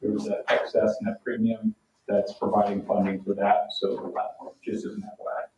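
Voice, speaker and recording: A man's voice: fairly low pitch, monotone, moderate pace, darkish tone, a little hiss or hum. A man giving a formal budget presentation at a public meeting, speaking in a hesitant, explanatory way. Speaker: town finance presenter. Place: town council meeting room. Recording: echoey room, distant mic, dull sound.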